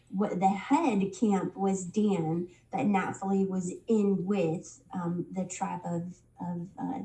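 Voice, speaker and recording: Woman with a head cold doing a bible study, lots of ums, mellow voice, kinda far off in a roomy space. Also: medium pitch. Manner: unhurried, many ums and restarts. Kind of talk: casual Bible study lesson.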